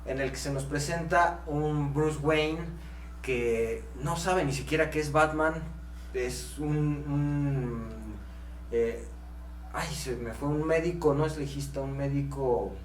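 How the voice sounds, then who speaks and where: A man's voice: fairly high pitch, takes it slowly. Mexican man, echoey and off-mic. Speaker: podcast co-host. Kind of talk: casual conversational podcast talk. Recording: echoey room, distant mic, faint hum.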